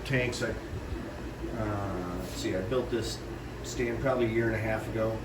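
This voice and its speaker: A man with a stuffed-up, runny nose talks through his home fish room in a loose, rambling way, stopping often with fillers. His voice sits at an ordinary pitch and sounds a little distant from the camera mic. The room is echoey, and a loud air-conditioner hum runs underneath. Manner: rambling, with many pauses and fillers. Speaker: fish breeder giving a tour. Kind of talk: casual walkthrough vlog.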